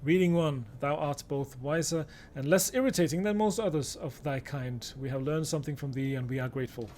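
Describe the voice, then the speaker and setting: A man's voice: on the high side, fairly quick, darkish tone, slight background noise, close to an everyday voice. A man voicing a haughty, archaic video game creature with a stately manner, over music. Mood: grudging gratitude.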